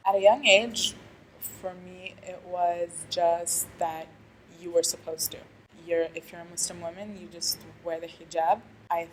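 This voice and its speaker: Young woman from Algeria, low even voice, earnest and halting, faint room ambience behind. Student interview. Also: slight background noise, rough recording. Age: young adult. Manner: hesitant, earnest, many restarts. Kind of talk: reflective interview answers.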